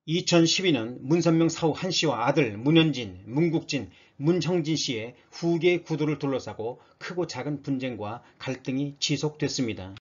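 A man's voice: fairly high pitch, normal speed, few pauses, husky, muffled recording. A man narrating scripted news for an online channel in a formal, read-out announcer manner.

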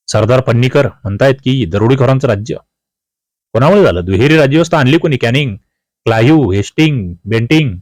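A male coaching teacher with a mid-pitched voice walks through exam questions. He is didactic, putting each question to the learner and then supplying the answer. The sound is dry, with very little room in it. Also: a little mellow, dry recording.

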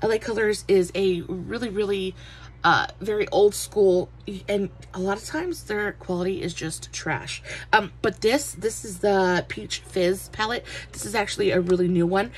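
A woman's voice: medium pitch, moderate pace, husky, low-quality recording. A young adult woman chatting through a makeup palette review, a bit nasal and stuffy from allergies, with lots of filler words. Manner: chatty, many "uh" fillers, friendly greetings. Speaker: beauty vlogger.

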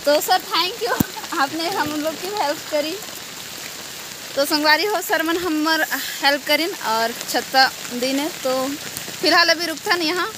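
A woman's voice: sits high, unhurried. A young woman with a Chhattisgarhi accent chatting casually to her phone camera as a travel vlogger, mixing in local dialect. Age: young adult. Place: outdoors on rainy rural road. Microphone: phone at arm's length. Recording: noisy outdoor phone audio, rain and motorbike. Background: rain, motorcycle engine, vehicles.

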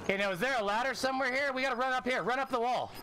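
A man in his thirties streaming a shooter game from home, calling out directions excitedly and swearing as he plays with a friend. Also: extremely high pitch, rapid-fire, a little hiss or hum, rough recording. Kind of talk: casual gaming chat. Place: home room, seen on webcam.

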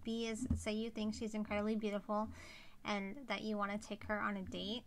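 Young female game streamer, casual live commentary, noticeable vocal fry. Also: mid-range voice, completely flat delivery, fairly quick, slight background noise. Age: young adult. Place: indoors, seated at a streaming setup.

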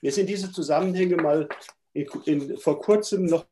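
A man's voice: a little high, moderate pace, mellow, slight background noise. A middle-aged man with a stuffy cold gives a thoughtful, hesitant lecture from a room at home over a video call. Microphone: webcam on a video call.

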